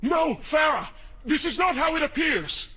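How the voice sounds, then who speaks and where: A desperate, cursed prince from a video game. His pitch is high and swoops widely, with a forceful, bright edge and intense delivery. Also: animated, a little slow, full character voice. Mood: pleading. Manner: intense, dramatic. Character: desperate warrior prince tainted by sorcery. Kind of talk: dramatic video game voice acting.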